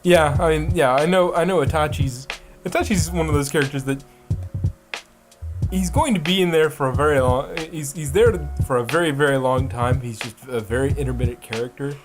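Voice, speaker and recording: A man with a somewhat high voice chats casually as a podcast guest. He rambles and halts, doubling back on his words, while music plays softly behind him. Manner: rambling, halting, repeats words. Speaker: guest voice actor on a fan podcast. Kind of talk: casual group chat. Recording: music playing under the voice.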